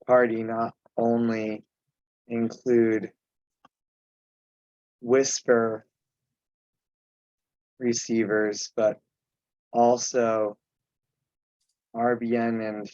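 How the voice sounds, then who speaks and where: A man chairing an informal online meeting, speaking haltingly with pauses mid-sentence. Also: medium pitch, level tone, darkish tone, no room sound. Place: remote teleconference. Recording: dull, muffled conference call audio.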